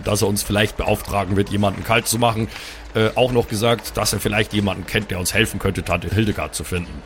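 A man's voice: fairly low pitch, a bit bright, slight background noise. A man improvising in a pen-and-paper audio play, switching between in-character banter and casual table talk, wry and playful, with the odd English phrase.

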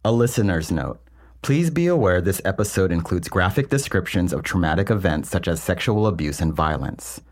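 A man hosting a documentary podcast, reading scripted narration in a measured, earnest way. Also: sits low, lively intonation. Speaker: podcast host.

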